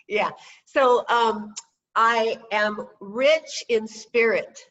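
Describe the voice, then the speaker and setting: A woman's voice: on the high side, very slow, a bit bright, highs cut off. A woman in her sixties hosting a podcast over a video call, chatty and joking, laughing often. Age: 60s. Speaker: podcast host and novelist.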